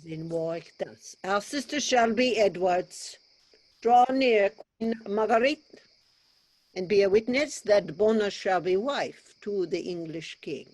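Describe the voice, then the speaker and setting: A woman playing a stately, commanding French king in a staged reading of verse drama, with measured, formal delivery. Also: medium pitch, a little mellow, lightly acted, low-quality recording. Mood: ceremonious. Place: remote video call.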